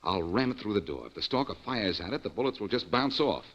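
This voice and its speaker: An actor playing a bold, cocky amateur detective with a brash manner in an old-time radio drama. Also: male voice, on the low side, expressive, fast, a bit bright. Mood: bold, urgent. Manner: brash, confident, wisecracking. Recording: muffled old radio recording.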